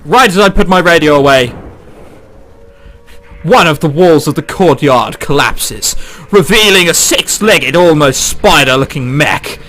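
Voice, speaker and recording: A man's voice: fairly high pitch, animated, unhurried, fairly bright tone. A man reading a visual novel aloud and breaking off into jokey, theatrical asides, with game music behind him. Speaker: let's play host.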